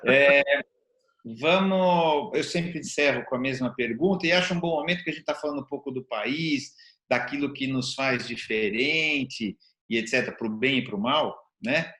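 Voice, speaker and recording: Brazilian male interview host, mid-pitched, relaxed conversational style, noticeably echoey room sound. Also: moderate pace. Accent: Brazilian Portuguese. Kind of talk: casual interview chat. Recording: roomy, echoing sound.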